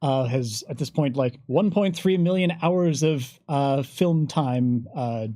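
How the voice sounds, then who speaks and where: A man talking unscripted as a game developer on a panel, articulate and measured, pausing in mid-thought. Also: mid-range voice, dark tone, dry recording, studio quality.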